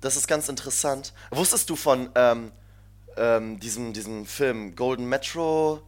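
A man's voice: medium pitch, measured pace. A young man chatting casually as a podcast co-host, rambling and laughing often as he tells stories. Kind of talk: casual chat. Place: shared flat abroad.

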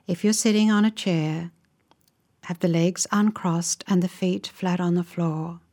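Female meditation guide, lowish voice, very unhurried and calm, softening into breath at phrase ends. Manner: calm, measured, instructive.

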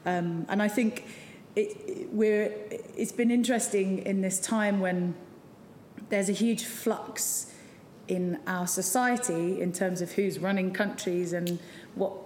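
A woman addressing a live audience, pausing often and restarting her sentences. Her voice echoes around a roomy hall with a long reverb. A faint noise hums underneath. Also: medium pitch, fairly even intonation, darkish tone. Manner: hesitant, many restarts and ums. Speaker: campaign speaker. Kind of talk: hesitant speech to a live audience.

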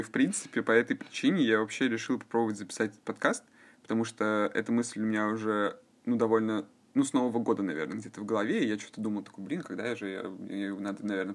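A young man hosting a casual podcast chat, rambling and full of fillers. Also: medium pitch. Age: young adult. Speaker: podcast host.

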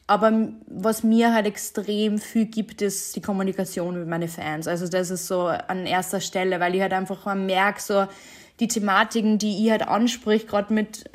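Chatty young woman. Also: mid-range voice. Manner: chatty, many fillers, laughs often. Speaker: singer-songwriter guest. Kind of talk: casual interview answers.

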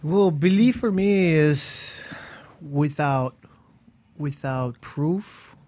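A man's voice: on the high side, extremely slow, a little mellow. A man co-hosting a motivational talk show, speaking hesitantly with frequent restarts and unusual phrasing. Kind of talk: casual talk show discussion.

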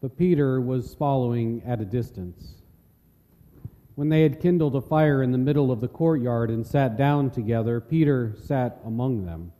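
A man narrating scripture in a measured, solemn way as part of a dramatic reading. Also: medium pitch, warm tone. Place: large reverberant church. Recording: long church hall reverb.

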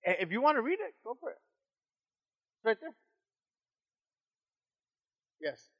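A man leading a Bible study in casual talk, who stutters and speaks slowly. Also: very high voice, sing-song, breathy edge. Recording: dull lo-fi recording.

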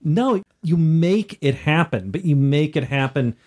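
A man's voice: fairly high pitch, lively intonation, measured pace, darkish tone. A man co-hosting a conversational podcast, talking emphatically and repeating phrases for stress. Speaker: podcast co-host. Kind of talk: conversational discussion.

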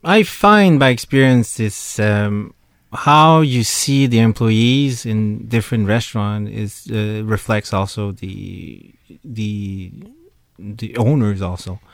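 A man's voice: lowish voice, no room sound, clean studio sound. A man, co-owner of a restaurant, answering questions on radio in a hesitant way with many fillers. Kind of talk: radio interview guest.

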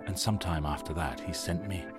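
A man's voice: low-pitched, a little fast. A man hosting a radio show, giving scripted narration to introduce an audio documentary. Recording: music behind the voice. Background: music.

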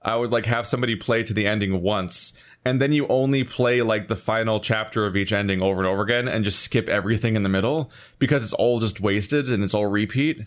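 A man's voice: lowish voice, quick pace, few pauses. A man in a casual critical chat about a video game, rambling and ranting emphatically in exasperation.

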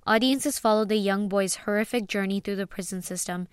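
Smooth-voiced woman presenting a review. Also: mid-range voice, fairly quick, no echo, clean studio sound. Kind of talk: scripted review narration.

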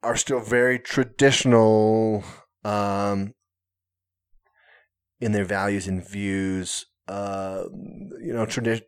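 A man's voice: on the low side, steady tone, slow, smooth. A man talking casually on a podcast, hesitant, full of fillers and restarts, with self-mocking humor. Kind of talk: casual conversation.

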